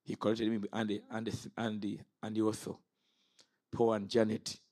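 A man's voice: on the low side, completely flat delivery, normal speed, darkish tone. A man in his fifties from Uganda preaching an impassioned sermon. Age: 50s. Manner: impassioned, rises and falls sharply.

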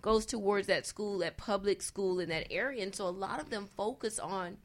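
A woman's voice: medium pitch, normal speed. A woman speaking conversationally as a guest on a panel about investing, emphatic and repeating phrases for emphasis.

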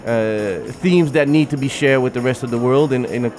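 Guy being interviewed on radio, pauses and restarts a lot, bit of music under it. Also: medium pitch. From Netherlands. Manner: thoughtful, halting with many restarts. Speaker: guest filmmaker. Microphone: studio microphone.

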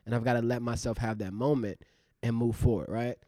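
A man's voice: mid-range voice, fairly even intonation, brisk, warm tone, no echo, clean studio sound. A man in his thirties, an actor co-hosting a podcast, talking in a loose, rambling way with many fillers. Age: 30s. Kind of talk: casual reflective chat.

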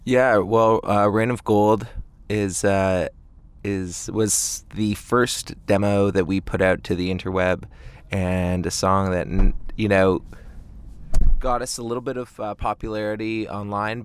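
A man's voice: on the low side, flat delivery, a little slow, clean tone. A man, a band frontman, chatting casually as an interview guest in a hesitant, rambling way. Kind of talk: casual chat. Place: inside a parked tour van.

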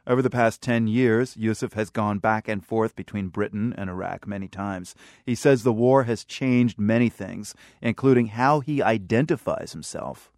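A man hosting a radio news program, reading narration and asking calm, measured questions. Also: on the low side, dry recording, clean studio sound. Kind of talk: news interview and scripted narration. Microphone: studio microphone.

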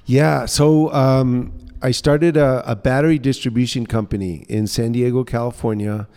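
Mellow man in a reverberant room. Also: mid-range voice, steady tone, moderate pace. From Guatemala, England and mostly New Orleans, USA. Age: middle-aged. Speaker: interview guest, social entrepreneur. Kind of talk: conversational personal storytelling. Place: indoor co-working space. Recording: reverberant room with long echo.

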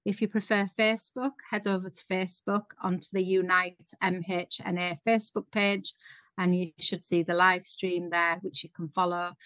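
A woman co-hosting an online panel talk, giving clear, instructive announcements. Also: on the low side, level tone, moderate pace, fairly bright tone, dry recording, poor audio. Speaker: co-host.

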